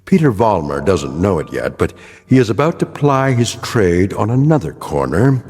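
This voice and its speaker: A man narrating a radio drama in a grave, measured, ironic way. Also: fairly low pitch, big pitch swings, darkish tone, big-room sound.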